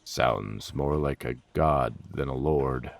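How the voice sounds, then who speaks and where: A man's voice: extremely low pitch, takes it slowly, vocal fry, pitched below the performer's own voice, exaggerated voice. A man voicing a guarded, skeptical male adventurer who mutters tersely in a storybook. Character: Blue, guarded, skeptical male party member. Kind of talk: storybook character dialogue.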